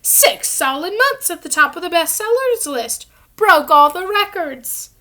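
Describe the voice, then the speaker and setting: A woman's voice: very high voice, big pitch swings, measured pace, voice pushed up, full character voice. A woman putting on a pompous, gushing celebrity wizard. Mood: boastful. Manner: gushing, self-satisfied, loud. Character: vain, pompous celebrity wizard teacher, adult man.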